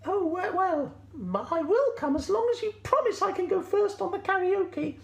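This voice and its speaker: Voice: very high-pitched, animated, moderate pace, vocal fry, roomy sound, pitched above the performer's own voice, full character voice. A storyteller voicing a naive, anxious little female sheep with a hesitant, plaintive, stammering way of talking.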